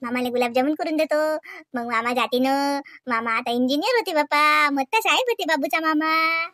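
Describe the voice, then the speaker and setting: A woman's voice: high voice, normal speed, pushed and bright, no room sound, clean studio sound. A woman playing a doting, chatty village mother in a Marathi comedy cartoon, with a rural Vidarbha accent, cooing in baby talk and teasing. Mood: affectionate.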